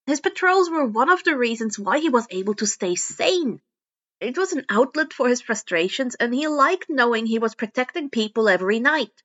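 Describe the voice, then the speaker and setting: High-pitched woman narrating a story. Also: dull audio. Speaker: podfic narrator.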